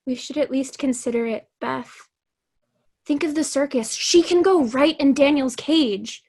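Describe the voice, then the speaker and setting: A woman's voice: high-pitched, unhurried, a little mellow. A woman playing a pushy, pragmatic circus performer, insistent and casual, in a staged reading of a play. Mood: coaxing. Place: remote, on a video call.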